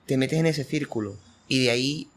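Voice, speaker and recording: A man's voice: medium pitch, normal speed. A man with an accent from Spain, hosting a casual, conversational interview podcast.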